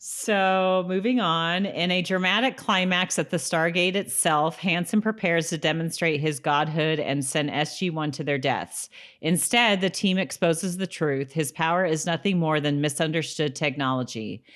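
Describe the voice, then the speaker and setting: Female American podcast co-host, notably low register, conversational recap delivery. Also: moderate pace, a bit bright. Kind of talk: casual podcast chat with some read summary.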